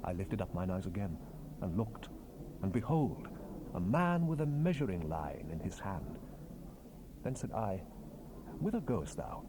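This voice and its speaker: A man's voice: deep voice, very animated, unhurried, long pauses, full character voice. A man acting a fervent Bible prophet in a dramatized King James reading, solemn and declamatory, with archaic phrasing. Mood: hushed awe. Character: fervent male Bible prophet proclaiming visions and God's words. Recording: noisy unprocessed 1970s cassette rip.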